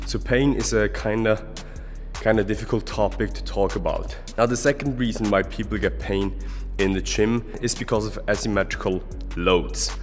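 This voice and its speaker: A young man, a German-speaking fitness coach from Switzerland, earnestly explaining gym tips to a handheld camera at arm's length in a large indoor gym. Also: on the low side. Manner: earnest, explanatory, adds okay often. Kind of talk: casual vlog advice. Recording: echoey gym hall with music.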